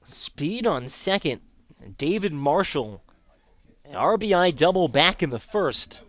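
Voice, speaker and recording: A man's voice: on the high side, expressive, takes it slowly, fairly bright tone. A man calling live baseball play-by-play as the announcer of a sports broadcast.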